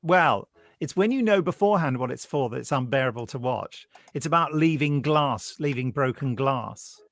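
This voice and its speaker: A British man co-hosting a podcast, recounting things in casual chat as an animated storyteller. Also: mid-range voice, moderate pace, dry recording, studio quality. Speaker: podcast co-host.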